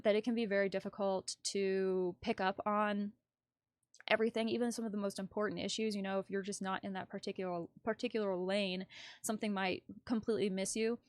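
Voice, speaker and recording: A woman's voice: medium pitch, dry recording, clean studio sound. A woman hosting a casual livestream news commentary, chatty and rambling as she thinks aloud. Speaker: livestream host.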